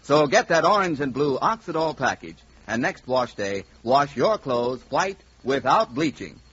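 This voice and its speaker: A man's voice: mid-range voice, very animated, a little slow, a bit bright, slightly rough. An American man announcing and reading commercials on 1940s radio with an emphatic sales delivery. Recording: muffled 1940s radio recording.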